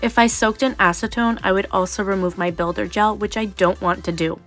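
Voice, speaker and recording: A woman's voice: medium pitch, fairly even intonation, fairly quick, a bit bright, faint music behind. A young adult woman narrating a nail tutorial voice-over in a chatty, explanatory way, sounding slightly nasal from a cold.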